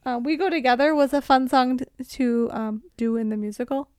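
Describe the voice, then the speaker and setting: A woman with a distinctly high voice co-hosts a casual podcast. She talks in a playful, animated way. The sound is dry, with hardly any room to it. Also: fairly quick, smooth, no room sound. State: tired. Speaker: podcast co-host. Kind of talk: casual film chat.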